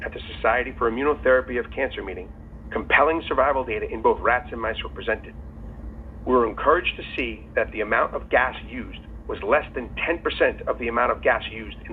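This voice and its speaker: A man in the role of company executive gives a scripted earnings call presentation in a formal, measured way. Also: mid-range voice. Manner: formal, measured reading of prepared remarks. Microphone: telephone conference call. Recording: tinny phone line with faint noise.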